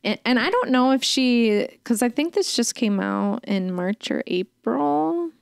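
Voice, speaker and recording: Chatty woman podcaster, gravelly, smooth. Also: fairly high pitch, expressive, unhurried, no room sound, studio quality. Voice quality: calls her own voice dull. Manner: chatty, rambling, lots of "like". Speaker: podcast co-host. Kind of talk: casual chat.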